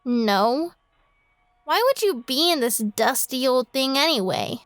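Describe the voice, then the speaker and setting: A woman's voice: high-pitched, lively intonation, unhurried, fairly bright tone, no room sound, soft background music. A woman playing a vain, fussy, spoiled little girl in a radio drama, with a petulant, blunt manner. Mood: wary. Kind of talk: horror radio drama acting.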